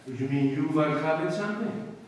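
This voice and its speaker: A man performs a skeptical, scornful police detective from an old radio play. His voice is on the high side and curt, caught far off in a hall full of echo. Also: animated, brisk, close to an everyday voice. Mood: dismissive, curt. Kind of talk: 1940s radio acting. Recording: distant echoey hall, faint noise.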